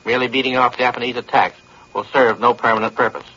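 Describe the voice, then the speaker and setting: A man giving wartime news commentary in a measured, grave manner. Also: mid-range voice, steady tone, pushed and bright, husky. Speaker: news correspondent. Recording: muffled 1940s shortwave radio broadcast.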